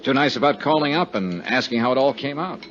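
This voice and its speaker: An American actor playing a stubborn, sardonic investigator in a 1950s radio detective drama, with a wry, clipped, hard-boiled delivery. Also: male voice, medium pitch, animated, brisk, a bit bright, lightly acted. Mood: needling. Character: stubborn, sardonic male insurance investigator narrating his case. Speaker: lead actor and narrator. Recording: dull 1950s radio recording with music.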